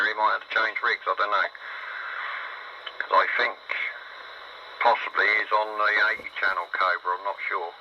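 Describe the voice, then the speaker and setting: A man with a British accent chatting casually over CB radio, rambling and matey, with hesitant pauses. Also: on the low side. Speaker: CB radio operator. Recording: muffled, very noisy CB radio signal. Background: loud radio static and hiss.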